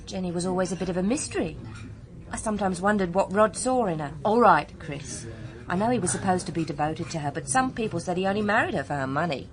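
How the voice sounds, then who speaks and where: A woman's voice: fairly low pitch, lively intonation, fast talker, natural voice. An actress playing a spirited, outspoken young woman in a radio drama, with a British accent and an emphatic, frank manner. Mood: frank, uneasy. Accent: British English.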